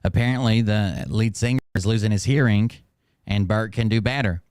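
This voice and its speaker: A man with a nasal voice, hosting a livestream and making dry, teasing comments. His voice comes through smooth and clean, recorded close in a dead, dry space. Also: lowish voice, moderate pace, a little mellow, no echo. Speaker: livestream host. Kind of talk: casual reaction commentary.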